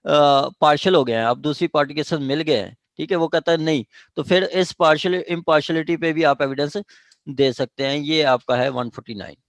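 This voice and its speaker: A man's voice: a little high, steady tone, brisk, dry recording. A man with a Pakistani accent giving an informal law lesson, reading phrases out and then explaining them in plain words. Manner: explanatory, reads out then paraphrases. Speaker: law lecturer.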